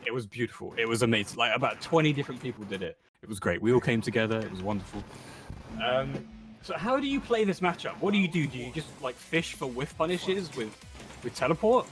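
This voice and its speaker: A man with a deep, low cadence doing excitable, jokey live commentary on a fighting-game tournament. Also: fast talker, faint music behind. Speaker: esports commentator.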